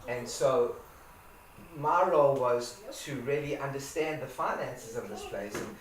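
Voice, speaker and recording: A man's voice: mid-range voice, unhurried. A man from Africa, speaking informally to a live audience, often repeating and restarting his words. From South Africa. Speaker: community owner giving a talk. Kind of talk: personal talk to an audience. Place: event space with a live audience. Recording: roomy echo, distant mic, background noise.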